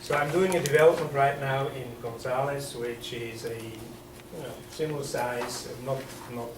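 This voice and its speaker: A man with a Swiss accent addresses a planning workshop, pausing often on ums and restarting his sentences. He sits well back from the microphone in a reverberant council chamber. Steady background noise runs under the echoey recording. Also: medium pitch. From Switzerland. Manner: hesitant, many ums and restarts. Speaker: developer. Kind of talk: presentation at a public meeting. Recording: echoey meeting room from far off, noisy.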